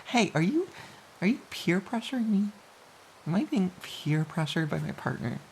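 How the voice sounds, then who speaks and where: A low, mellow voice of a woman acting as a playful romantic partner snuggled up in bed. The delivery is slow, intimate and teasing, with a mock-pouty streak. Faint hiss sits behind the voice. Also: very animated, rough recording. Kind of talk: intimate audio roleplay, soft casual pillow talk.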